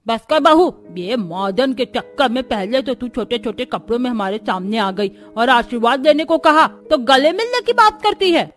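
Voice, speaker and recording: A woman's voice: a little high, very animated, moderate pace, hardly any pauses, soft background music. A woman voicing a stern, traditional old grandmother-in-law who scolds in disapproval, in a cartoon family drama. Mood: scolding.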